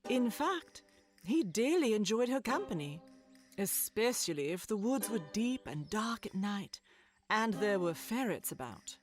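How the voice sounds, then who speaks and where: A woman narrating a scripted storybook tale in a measured, literary storytelling manner. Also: mid-range voice, big pitch swings, soft background music.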